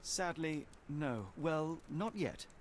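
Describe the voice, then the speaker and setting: A man's voice: fairly high pitch, expressive, slow pace, slight background noise. A man playing a formal, inquisitive detective with a British accent in a video game. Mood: calm, probing. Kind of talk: scripted game dialogue, questioning.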